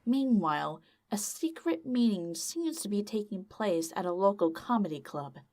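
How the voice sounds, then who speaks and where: A woman's voice: medium pitch, animated, moderate pace, darkish tone. A woman narrating a scripted audio drama as storyteller.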